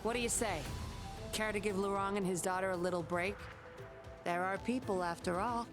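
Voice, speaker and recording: A woman's voice: mid-range voice, steady tone, moderate pace, natural voice. A woman voicing a sly, confident female undercover investigator in a video game, with a coy, composed, teasing manner. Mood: coolly negotiating. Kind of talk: scripted video game voice acting. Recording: music under the voice.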